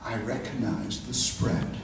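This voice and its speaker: A man voicing a camp, rotund, softly spoken English gossip, confiding and genteel, in a story reading. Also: medium pitch, fairly even intonation, slow pace, close to an everyday voice. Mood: confiding, amused. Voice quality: soft-spoken. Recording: echoey room, distant mic, background noise.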